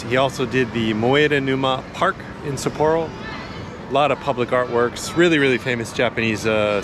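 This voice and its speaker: A man giving a casual walking tour as a vlogger, chatty and laid-back but enthusiastic, talking into a handheld action camera outdoors. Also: medium pitch. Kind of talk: casual chatty walk-and-talk narration. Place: outdoors in a busy city park. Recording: outdoor action camera with clear city noise.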